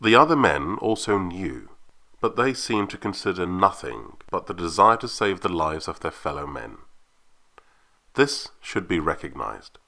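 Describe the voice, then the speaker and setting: A man reads a formal historical report as narrator. His voice is very low yet bright and forceful, and his pitch moves in a lively way. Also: unhurried, vocal fry. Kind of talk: scripted reading of a historical inquest report.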